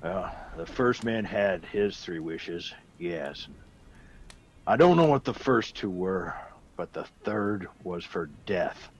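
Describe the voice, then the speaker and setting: A gruff old soldier spinning yarns in a radio drama. His voice is low and creaky, and he takes his time with emphatic, colloquial phrasing. The audio is a little dull. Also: animated, slow pace, a little hiss or hum, full character voice. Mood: grave, ominous. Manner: rambling storyteller, colloquial and emphatic. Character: gruff, boastful old soldier back from India, fond of whiskey.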